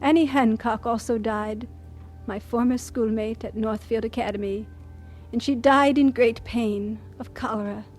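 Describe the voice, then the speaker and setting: An actress playing a devoted missionary doctor, reflective and sincere, narrating and acting in an audio drama. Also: female voice, fairly high pitch, fairly even intonation, unhurried, a little mellow, soft background music, natural voice. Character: composed, devoted female missionary doctor, reflective and warm-hearted.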